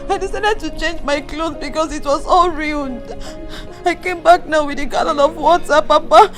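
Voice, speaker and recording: Brief: woman as a weeping daughter, very high voice, music running underneath. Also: normal speed, natural voice. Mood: tearful. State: crying. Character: tearful young daughter. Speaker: actor.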